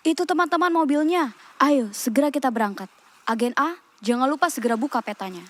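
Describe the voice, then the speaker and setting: A woman's voice: sits high, fast, fairly bright tone. Woman voicing an eager young puppet spy.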